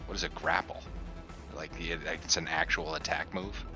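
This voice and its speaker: A man's voice: extremely low pitch, level tone, moderate pace, over music. A man playing a tabletop roleplaying game, talking casually and reading bits of rules aloud.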